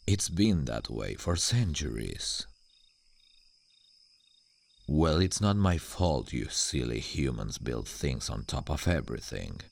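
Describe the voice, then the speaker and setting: A man voicing a suave, sardonic demon guardian in an ASMR roleplay, slow and condescending toward humans, with a very deep gravelly voice. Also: sing-song, a little mellow, full character voice. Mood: ominous, disdainful.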